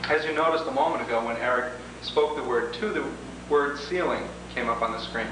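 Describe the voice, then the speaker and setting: A man giving a measured, slightly formal, explanatory demonstration of computer software, as a product demonstrator. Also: medium pitch. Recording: distant, echoey, noisy 1980s demo tape with hum.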